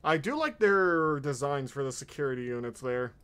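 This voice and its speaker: A man's voice: mid-range voice, animated, normal speed, fairly bright tone. A man hosting a reaction stream, giving casual, exclamatory commentary as he watches.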